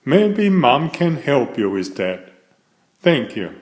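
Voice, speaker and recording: A man reading a bedtime picture book aloud in an expressive, deliberate storybook manner. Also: medium pitch. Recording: echoey room sound.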